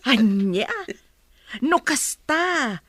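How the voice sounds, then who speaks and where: High, animated, cheeky housemaid. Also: female voice, very slow, no room sound, heavily acted. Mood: nosy, teasing. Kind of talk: radio drama acting.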